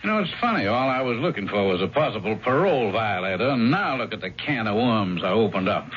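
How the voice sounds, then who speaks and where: A man's voice: lowish voice, lively intonation, fast talker, a bit bright. An actor playing a wry, sardonic armed lawman in a 1970s radio drama, with a dry manner. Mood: wry, in command. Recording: dull, noisy 1970s radio recording.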